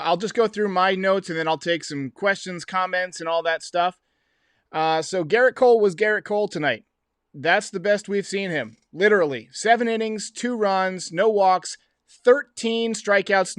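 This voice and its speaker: A man in his thirties with a slightly hoarse voice, giving casual, emphatic postgame sports commentary as a livestream host, close on a desk microphone. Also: high-pitched, fairly bright tone, dry recording. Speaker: host of a baseball livestream show. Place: small home room.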